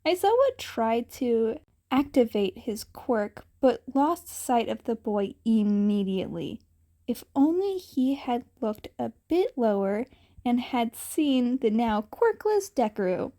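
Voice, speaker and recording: A woman's voice: on the high side, sing-song, slow pace, warm tone. A woman reading scripted fan fiction as a storyteller.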